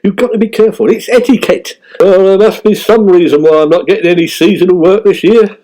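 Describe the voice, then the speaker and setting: A man's voice: high voice, a little fast, warm tone, natural voice, low-quality recording. A man playing a pompous, thin-skinned ageing British comic actor, indignant and aggrieved, in radio sketch comedy.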